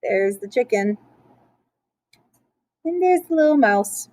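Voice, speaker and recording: A woman with a fairly high voice reads a children's story at a slow pace. She is playful and expressive, slipping into chatty asides. The sound is close and dry. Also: no echo. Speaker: storyteller reading a picture book aloud.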